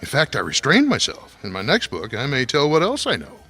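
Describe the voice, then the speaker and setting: A smug gossip writer in a radio mystery drama. He is theatrical and sarcastic, with pitch that swoops in a very lively way as he needles people. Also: brisk, soft background music, exaggerated voice. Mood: mocking, smug. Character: smug, sneering male gossip author taunting old acquaintances.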